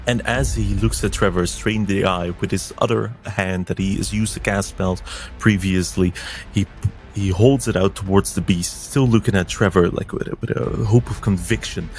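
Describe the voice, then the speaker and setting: A man playing a tabletop game, narrating his character's actions haltingly with restarts. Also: low-pitched, fairly even intonation, darkish tone, soft background music, low-quality recording. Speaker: player.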